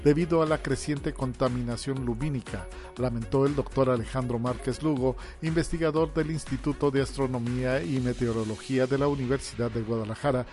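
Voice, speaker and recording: A man's voice: medium pitch, darkish tone. A man with a Mexican accent reading scripted radio news reports. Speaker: news reader. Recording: music bed behind the voice. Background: music.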